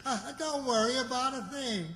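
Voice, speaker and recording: A man's voice: extremely high pitch, moderate pace, sharp tone. A man acting a doddering, amiably confident old country uncle, hesitant and muddled, in an old-time radio comedy. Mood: reassuring. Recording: echoey hall with long reverb.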